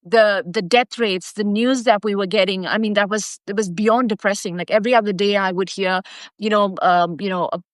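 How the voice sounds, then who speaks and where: A woman's voice: medium pitch, steady tone, a little fast, no echo. Indian-accented woman, emphatic, in a dry-sounding space. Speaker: host of a live stream, artist and educator. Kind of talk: personal storytelling talk to viewers.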